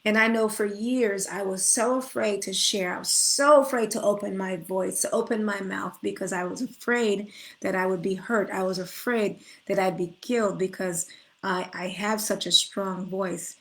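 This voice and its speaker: A woman's voice: mid-range voice, steady tone, moderate pace, fairly bright tone, low-quality recording. A middle-aged woman coach giving an earnest, spiritual motivational talk, inviting listeners to come forward, with frequent restarts. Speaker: life coach. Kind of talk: motivational direct-to-camera talk.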